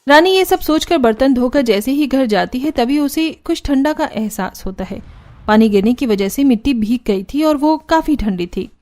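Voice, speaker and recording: A woman's voice: high voice, expressive, normal speed, darkish tone. A woman narrating a scripted Hindi cartoon story.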